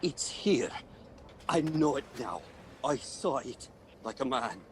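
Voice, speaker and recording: A man plays a superstitious sailor in a radio drama, haunted and close to madness. His speech is slow, halting and full of dread, with faint noise behind it. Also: medium pitch, big pitch swings. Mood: haunted, obsessive. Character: haunted, superstitious male ship's mate sliding into madness. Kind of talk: radio play acting.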